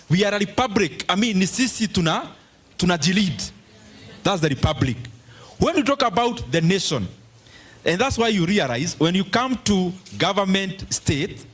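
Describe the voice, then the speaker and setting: Middle-aged Kenyan man, husky and fairly high-voiced, giving an emphatic public talk with Swahili asides. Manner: mixes Swahili phrases into English, emphatic. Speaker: politician addressing a forum. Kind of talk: public speech and audience Q&A.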